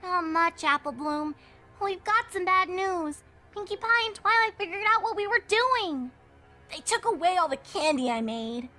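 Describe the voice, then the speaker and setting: Small filly pony, extremely high pitch, sharp bright tone, squeaky, earnest, wary, dramatic story reading. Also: female voice, lively intonation, moderate pace, faint music behind, heavily acted. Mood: uneasy. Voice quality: childlike, squeaky. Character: scared suspicious young filly ponies, small and earnest. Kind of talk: dramatic fan fiction reading.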